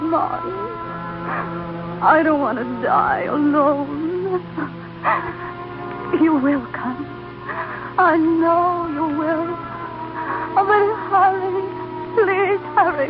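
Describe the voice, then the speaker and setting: A woman's voice: very high-pitched, heavily acted. An actress playing an ardent, vulnerable woman in love, with an earnest, plaintive delivery in an old-time radio drama. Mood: frightened, tearful pleading. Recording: dull vintage radio recording, music underneath.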